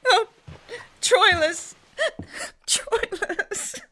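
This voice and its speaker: A woman's voice: extremely high pitch, very slow, bright, forceful tone, exaggerated voice. A woman playing a grief-stricken young Trojan noblewoman in love, speaking classical verse with theatrical emotion in an audio drama. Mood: disbelieving grief.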